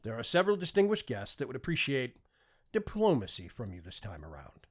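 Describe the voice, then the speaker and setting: Disapproving royal father played by a male reader, controlled and formal, raspy edge, audiobook drama. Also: mid-range voice, huge pitch swings, brisk. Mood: stern, reproving. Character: stern, battle-scarred old king, disapproving father. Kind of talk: audiobook character acting.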